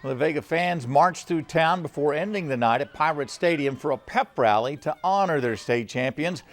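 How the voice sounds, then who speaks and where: A man's voice: a little high, animated, moderate pace. A man reading scripted television news as an anchor in a studio.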